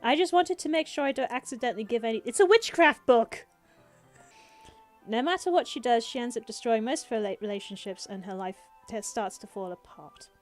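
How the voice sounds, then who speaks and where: High-pitched woman, theatrical sarcastic game streamer reading dialogue n reacting, music behind her. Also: fast, hardly any pauses. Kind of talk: livestream game playthrough commentary, reading dialogue aloud with reactions.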